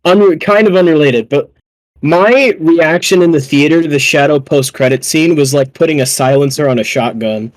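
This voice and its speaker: A man chatting casually as a podcast panelist, excitable and slangy, with profanity thrown in. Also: fairly high pitch, normal speed, no echo, low-quality recording. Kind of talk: casual chat.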